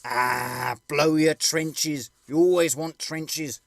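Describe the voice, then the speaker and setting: A man's voice: on the high side, fairly even intonation, slow pace, dry recording, clean studio sound, pitched above the performer's own voice. A man voicing a brash, impatient, combative army sapper with emphatic working-class speech, in an audiobook story. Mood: bickering.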